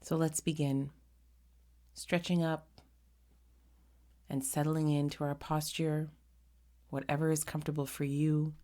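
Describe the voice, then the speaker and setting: A woman leading a guided meditation in a gentle, unhurried manner, pausing often between short phrases. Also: low voice, little pitch movement.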